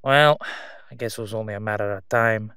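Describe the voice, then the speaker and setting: A man's voice: fairly low pitch, bright, forceful tone, dry recording, clean studio sound. Man playing a sly, sarcastic crime boss. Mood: feigned innocence. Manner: sarcastic, smooth-talking, drawn-out condescending delivery. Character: slick middle-aged male crime boss, mocking, sly, self-assured. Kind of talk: roleplay audio drama monologue.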